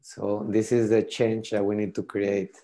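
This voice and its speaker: A man's voice: on the low side, completely flat delivery, a little slow, dark tone. A middle-aged man whose first language is French, explaining a mapping tool on a video call, often repeating words as he thinks. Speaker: tool demonstrator. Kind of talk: casual video call demo.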